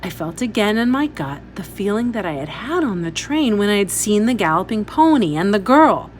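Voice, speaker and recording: Woman teacher reading aloud, lively intonation. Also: medium pitch, slight background noise. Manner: instructive, pausing to point out details. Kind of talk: expressive read-aloud storytelling.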